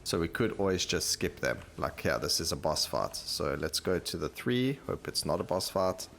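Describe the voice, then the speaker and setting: Deep gravelly man doing gameplay commentary. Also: sing-song, moderate pace, fairly bright tone. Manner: thinking aloud, conversational, repeats himself. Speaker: gaming video host. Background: game music.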